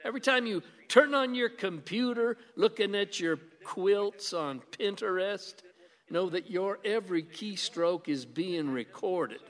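A stubborn, paranoid old gun-owning husband in a radio play. His voice sits fairly high for a man and rings in a reverberant hall. He grumbles and declares his principles with emphatic conviction. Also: a little slow, a bit bright. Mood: agitated, preachy.

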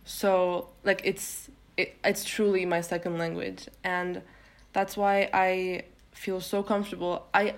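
A young woman from Turkey, a native Turkish speaker, talking casually as a podcast guest, often pausing and restarting her sentences. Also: fairly low pitch, flat delivery, gravelly. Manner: hesitant, many fillers and self-restarts. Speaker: guest poet.

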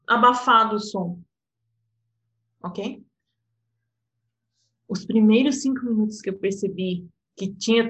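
European Portuguese woman, interpreter, chatty and self-reflective, voice sitting a bit high. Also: low-quality recording. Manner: personal, animated, laughs often, fillers like "sabe?". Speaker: interpreter and guest. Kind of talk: reflective casual conversation.

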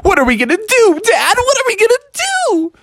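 A man's voice: on the high side, very animated, unhurried, fairly bright tone, no room sound, studio quality, pitched above the performer's own voice. A man voicing an eager young boy frog who sounds anxious and earnest. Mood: alarmed. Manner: earnest, anxious, breathless questions.

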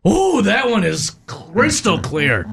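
A male radio co-host with a voice a bit higher than average. He interviews guests casually and conversationally. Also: huge pitch swings, takes it slowly, gravelly, music in the background.

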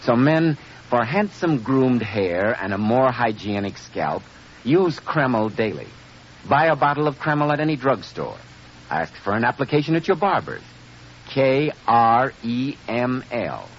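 A man's voice: big pitch swings, unhurried. A man with an American accent announcing and selling in a polished, emphatic 1940s radio style. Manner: polished, emphatic sales pitch. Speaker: announcer and host of radio drama. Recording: muffled, hissy vintage radio recording.

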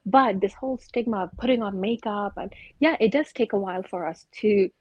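Husky-voiced woman sharing strong opinions. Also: medium pitch, a little fast, darkish tone, no room sound, highs cut off. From Afghanistan. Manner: earnest, opinionated, spiritual talk. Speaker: podcast co-host. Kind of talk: casual podcast chat.

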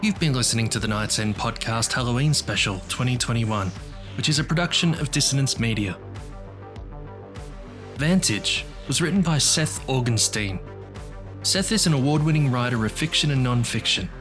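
A man's voice: mid-range voice, lively intonation, moderate pace, over music. A man reading a scripted announcement as a podcast announcer.

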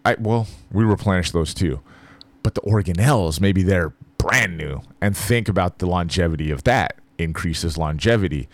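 Male host, very low voice, dry close sound, joking and loose. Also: moderate pace, no room sound. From Philippines. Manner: loose, joking, talks to the listener directly. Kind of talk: casual monologue.

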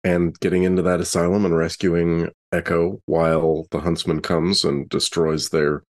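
A man's voice: low voice, flat delivery, moderate pace. A man playing a tabletop role-playing game, casually explaining what his character is after and why.

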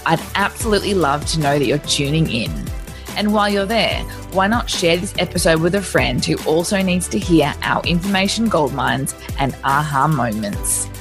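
Woman reading a lively show intro. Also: sits low, normal speed. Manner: upbeat, lively read. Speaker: podcast host. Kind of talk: scripted podcast intro. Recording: music bed under voice.

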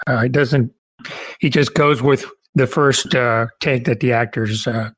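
A man hosting an interview in a casual, conversational way, somewhat halting as he forms his questions. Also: medium pitch, steady tone, fairly bright tone, breathy edge. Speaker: podcast host interviewer.

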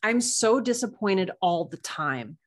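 A woman's voice: medium pitch, lively intonation, measured pace. A woman chatting casually and informally as an interview guest, with emphatic little asides. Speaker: podcast guest, author.

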